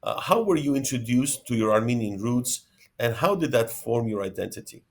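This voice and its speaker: A man's voice: mid-range voice, animated, moderate pace. A man hosting an interview, reading formal, prepared introductions and questions. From Canada. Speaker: host.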